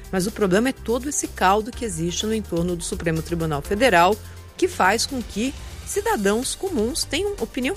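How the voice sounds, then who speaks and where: Brazilian woman, radio commentator, animated pitch, faint music bed under her. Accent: Brazilian Portuguese. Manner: emphatic, lively, laughs often. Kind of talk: opinion commentary.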